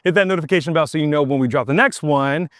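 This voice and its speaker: A young man, a lacrosse coach, explaining and demonstrating moves outdoors in an energetic, casual instructional way. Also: fairly high pitch, rapid-fire, dry recording, clean studio sound. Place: outdoors on a field.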